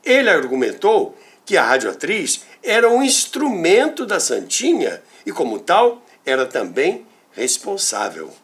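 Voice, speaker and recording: A Brazilian man hosts with scripted storytelling. His voice sits a little high and moves with very lively intonation. He takes his time and delivers each phrase in a deliberate, theatrical manner. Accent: Brazilian Portuguese. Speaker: host and storyteller of a radio history show.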